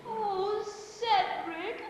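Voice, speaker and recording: An actress playing a glamorous, vain and excitable stage star in a comedy play, with a theatrical, effusive, cooing manner. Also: female voice, very high voice, extremely slow, exaggerated voice. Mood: gushing. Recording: very echoey hall, distant microphone, faint noise.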